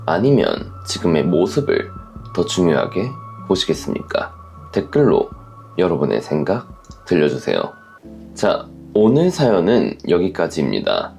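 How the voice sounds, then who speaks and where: A man hosting a relationship advice show, delivering scripted commentary in a measured, reflective and polite way. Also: deep voice, vocal fry, darkish tone. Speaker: host of a relationship story channel.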